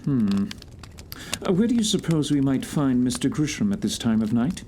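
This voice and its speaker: A dark, mellow male detective, acted by a man in a period audio theatre mystery. He is polite, probing and thoughtful, choosing his questions with care. His pitch sits in the middle. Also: very animated, a little hiss or hum, close to an everyday voice. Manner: polite, measured, hesitant questioning. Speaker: actor in audio drama.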